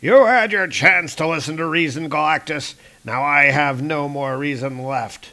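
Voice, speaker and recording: A man voicing a proud, heroic male thunder god from a comic, in a gravelly voice. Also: a little high, unhurried, sharp tone. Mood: fierce.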